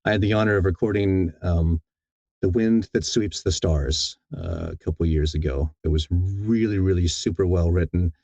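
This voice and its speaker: Deep, mellow man chatting in interview. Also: normal speed, no echo. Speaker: audiobook narrator being interviewed. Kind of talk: casual interview chat.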